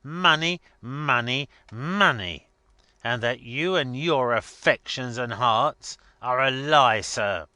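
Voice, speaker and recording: A man's voice: medium pitch, slow pace, bright, forceful tone, no room sound, pitched above the performer's own voice. A man voicing a blustering, scornful older rich man in a dramatic story reading, emphatic and mocking. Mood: ranting, mocking.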